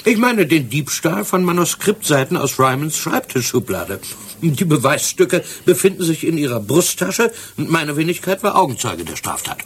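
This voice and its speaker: An actor in a German radio play playing a shrewd, cheeky yet deferential police sergeant with a wry, respectful manner. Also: male voice, on the high side, big pitch swings, moderate pace, slightly rough, heavily acted. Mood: teasing.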